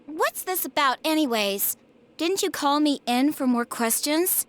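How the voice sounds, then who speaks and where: An actress playing an uneasy high school girl in a video game drama. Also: animated, measured pace, a bit bright.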